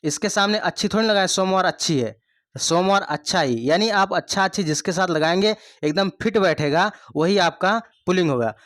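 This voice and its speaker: High-voiced male Hindi tutor, repetitive. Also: normal speed, fairly bright tone, dry recording, clean studio sound. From India. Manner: repeats points, asks the class rhetorical questions. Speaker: exam coaching teacher. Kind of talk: online Hindi grammar lesson.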